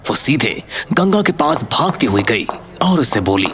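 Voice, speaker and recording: A man's voice: mid-range voice, sing-song, normal speed, a bit bright, slight background noise. A man narrating a scripted audio story in a dramatic storytelling style.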